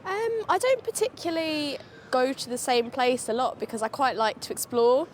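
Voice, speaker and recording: A young woman in her twenties talks in an easygoing way, using fillers between her thoughts. Her voice sits a little high, and faint ambient noise is heard behind her. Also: moderate pace. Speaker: passer-by interviewee. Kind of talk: casual chat.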